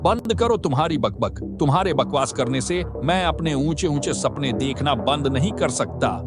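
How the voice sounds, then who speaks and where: A man voicing a greedy, scheming farmer in a cartoon moral story, theatrical and quick to turn scornful. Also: fairly high pitch, lively intonation, natural voice. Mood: angry, defensive. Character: greedy, scheming male farmer and father, arrogant when crossed. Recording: loud background music.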